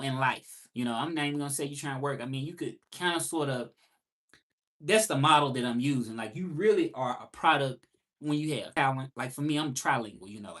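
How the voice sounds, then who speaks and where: A man's voice: mid-range voice, a bit bright. Southern man, 30s, reflective livestream host, repeats key points. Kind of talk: casual live monologue.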